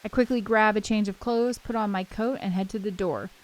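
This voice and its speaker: A woman's voice: mid-range voice, a little fast, a little mellow, poor audio. A woman hosting a let's play, switching between casual chat and reading a visual novel's text aloud. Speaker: host of a gaming channel. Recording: music playing behind the voice. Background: game soundtrack music.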